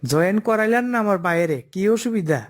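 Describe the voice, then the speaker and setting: A man's voice: high-pitched, animated, brisk. A man voicing a cocky, pushy office underling in a comic cartoon, wheedling and overconfident, with an eastern Bengali dialect.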